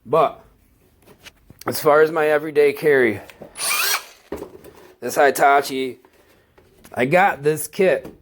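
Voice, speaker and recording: A man with a stuffed-up cold, casually showing off his work tools in a slow, halting, enthusiastic way. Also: a little high, a little hiss or hum. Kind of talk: casual tool walkthrough.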